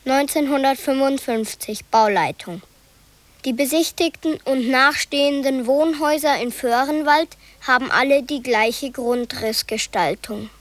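High-voiced woman reading slowly. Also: no room sound. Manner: slow, deliberate listing. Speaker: narrator. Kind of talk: measured scripted reading of documents and street names.

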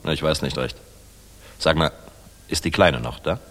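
An actor playing a dashing, world-weary middle-aged air force general in a 1960s German radio play, musing and commanding. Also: male voice, rumbling low, normal speed, a bit bright. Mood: tender, teasing. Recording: reverberant 1960s mono radio recording.

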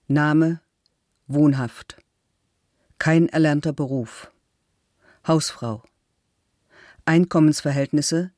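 Middle-aged woman suspect, slow n factual, clipped statements, dry close studio sound. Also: sits low, dark tone, dry recording. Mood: clipped, detached. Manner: clipped, matter-of-fact, factual recital. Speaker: actor reading case records. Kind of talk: scripted documentary radio reading of police records.

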